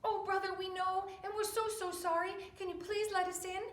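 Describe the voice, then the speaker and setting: A woman voicing two scared little male pigs in a storybook tale, with high, squeaky, pleading voices. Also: little pitch movement, normal speed, a bit bright, echoey room.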